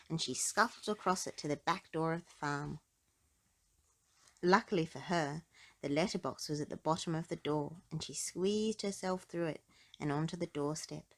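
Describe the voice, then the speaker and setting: Female storybook reader, slightly low register, highly animated delivery for young listeners. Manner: dramatic, animated delivery with emphasis. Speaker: storybook narrator. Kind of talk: expressive read-aloud children's storytelling.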